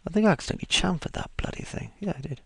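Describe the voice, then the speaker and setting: Croaky male tutor, deep gravelly voice, dry close mic, lively delivery. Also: very fast, no room sound. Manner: casual, talks through each step as he works. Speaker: 3D modeling tutor. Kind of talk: screen-recorded software tutorial.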